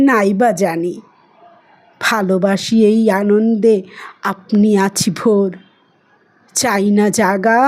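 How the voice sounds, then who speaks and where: Female poetry reciter, higher register, very unhurried, emotive and expressive phrasing.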